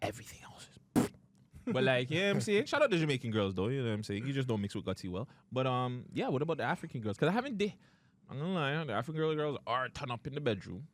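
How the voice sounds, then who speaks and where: A male podcast host whose pitch rises and falls a lot as he talks. He leans on street slang and breaks into laughter while quizzing his guest in a loose, joking way. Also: sing-song, quick pace, a little hiss or hum. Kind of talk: casual podcast interview.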